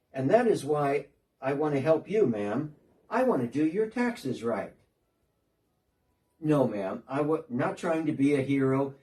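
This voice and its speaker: Short, earnest tax collector recounting his change of heart , played by a man, lively up-and-down delivery, mellow tone, miked from a distance. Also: fairly high pitch. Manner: earnest storytelling, talking as if on a phone call. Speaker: dramatic reader. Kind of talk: first-person dramatic monologue.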